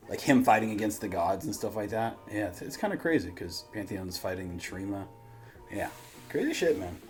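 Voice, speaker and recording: A male reaction host with a fairly low voice talks casually and thinks out loud. His speech is slangy and sweary, with faint music behind him.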